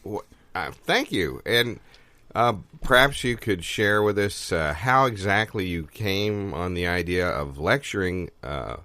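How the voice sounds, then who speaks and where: Deep-voiced, baffled interviewer played by a man. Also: animated, sharp tone, dry recording, exaggerated voice. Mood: polite, baffled. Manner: halting, stammering, polite. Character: polite, baffled, admiring male interviewer. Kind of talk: improvised audio comedy interview.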